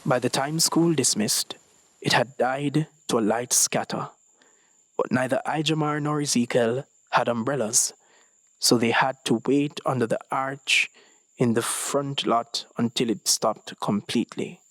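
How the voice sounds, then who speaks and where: A man's voice: medium pitch, fairly even intonation, unhurried, fairly bright tone, dry recording, poor audio. A young man reading a short story as scripted narration. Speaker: story reader.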